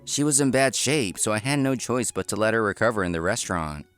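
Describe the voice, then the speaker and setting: A man's voice: lowish voice, lively intonation, fairly quick, fairly bright tone, clear, resonant tone, soft background music. A man reading scripted first-person narration for a manga story. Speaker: narrator and lead character.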